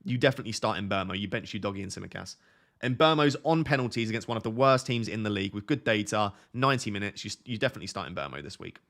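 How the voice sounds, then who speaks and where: A young man hosting a fantasy football live stream, reading viewer questions aloud and answering them in a relaxed, soothing voice. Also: on the low side, quick pace, gravelly. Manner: self-described relaxing, soothing voice. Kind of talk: casual live stream chat.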